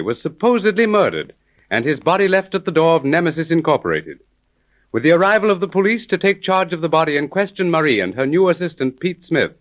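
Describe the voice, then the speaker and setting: A man's voice: on the high side, a bit bright. A man narrating a 1930s radio mystery as its announcer, in a formal, dramatic manner. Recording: vintage 1930s radio recording.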